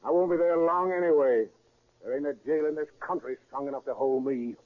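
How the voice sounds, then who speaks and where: An actor playing a cocky, defiant American gangster in a 1930s radio crime drama, talking tough in slang. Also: male voice, fairly high pitch, fairly quick, phone-quality sound, natural voice. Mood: defiant, sneering. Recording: thin, band-limited 1930s radio recording.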